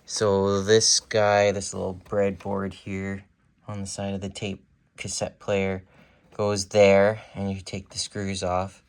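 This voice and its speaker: Low-voiced, slow-talking man in his thirties. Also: completely flat delivery, creaky, clear, resonant tone. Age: 30s. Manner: halting, informal, thinking aloud. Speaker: hobbyist repairer showing his work. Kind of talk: casual, unscripted repair vlog. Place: carpeted room at home. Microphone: handheld phone, at arm's length.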